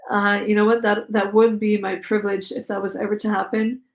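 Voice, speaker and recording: A woman's voice: mid-range voice, little pitch movement, brisk. A young woman with an Israeli accent, a native Hebrew speaker, hosting a casual live chat and answering viewer questions. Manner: chatty, reads out viewer comments, many fillers.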